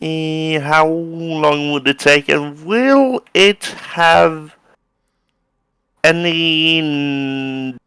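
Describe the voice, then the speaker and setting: A man taking part in a casual tabletop roleplaying game, speaking slowly and haltingly. His voice is gravelly. The sound is dry, with no room around it. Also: a little high, fairly even intonation, sharp tone, dry recording. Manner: halting, hesitant, many restarts. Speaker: player.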